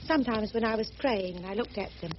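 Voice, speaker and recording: An actress playing a prim, sheltered, earnest missionary spinster in a radio drama, with a proper, gently insistent manner. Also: female voice, mid-range voice, fast, close to an everyday voice. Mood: earnest, shy. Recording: muffled, noisy old radio recording.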